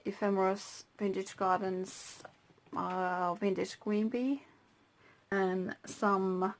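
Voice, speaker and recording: A Finnish-native woman hosting her own craft channel, talking through a handmade journal slowly, with pauses and soft whispered asides. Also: medium pitch, a little hiss or hum. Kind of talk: casual crafting flip-through.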